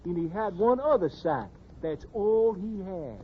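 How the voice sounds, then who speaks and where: An indignant, folksy frontier gossip spins a yarn in an emphatic American Western accent, with very lively intonation. The sound is a muffled, faintly noisy 1950s radio Western. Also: male voice, high-pitched, a little slow. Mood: wry storytelling.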